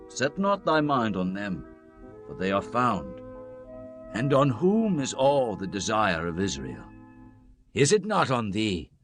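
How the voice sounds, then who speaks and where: A man playing a solemn, authoritative prophet, declaiming in a dramatized scripture reading. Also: on the low side.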